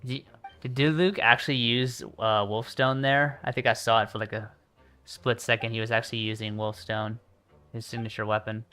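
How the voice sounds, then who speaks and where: A man's voice: medium pitch, little pitch movement, moderate pace, sharp tone. A man giving informal live commentary as a video game streamer, reacting aloud and repeating himself. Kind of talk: casual live gameplay commentary. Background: video game music.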